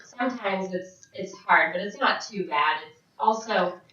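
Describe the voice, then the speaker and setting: A woman voicing a signed question-and-answer vlog as an interpreter, speaking in short, halting phrases with frequent restarts. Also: mid-range voice, sharp tone. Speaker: sign language interpreter voicing a Deaf vlogger. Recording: very echoey, distant, dull sound.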